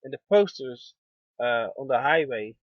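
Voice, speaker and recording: A man hosting an internet radio show, gentle and affirming, pausing often with fillers. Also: mid-range voice, animated, no room sound. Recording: dull lo-fi dry sound.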